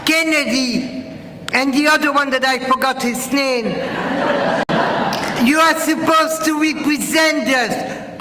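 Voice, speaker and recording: Female town hall attendee, Belgian accent, indignant, slow and piercingly high, in a very echoey hall. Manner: indignant, emphatic, rhetorical questions. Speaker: audience member at town hall. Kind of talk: impassioned public testimony. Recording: echoey noisy hall PA recording.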